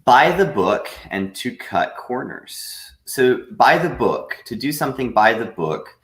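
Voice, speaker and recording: A man teaching a live online English lesson, patiently explaining with frequent pauses. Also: mid-range voice, sing-song, fairly bright tone, reverberant. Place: room at home.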